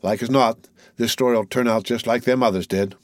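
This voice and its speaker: An uneasy young recruit, voiced by a man reading a storybook, tentative and full of questions. His voice sits a little higher than the narrator's plain tone but stays near it, in a dry, close read. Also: fairly even intonation, a little fast, no echo, natural voice. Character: anxious young male recruit, hesitant.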